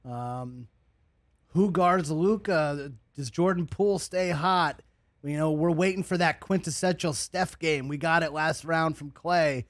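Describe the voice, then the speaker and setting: A man co-hosting a sports podcast, excitedly firing off questions in casual chat. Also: fairly high pitch, no echo, studio quality. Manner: excited, rapid-fire questions. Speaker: podcast co-host.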